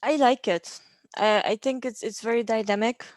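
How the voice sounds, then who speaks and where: A woman's voice: fairly high pitch, normal speed, no room sound, studio quality. A Hungarian woman in her thirties, a guest in a casual interview, talking in a friendly way with frequent ums and light laughs. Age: 30s.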